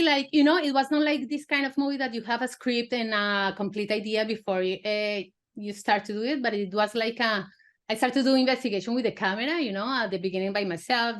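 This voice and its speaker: A woman filmmaker from Colombia, a native Spanish speaker, answering interview questions in casual, halting English with many fillers and restarts. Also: on the high side, steady tone. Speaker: filmmaker guest.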